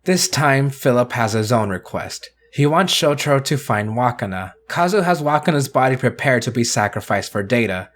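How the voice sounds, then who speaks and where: A man's voice: mid-range voice, expressive, moderate pace, few pauses. Male video reviewer, mid-range voice, casual scripted recap delivery.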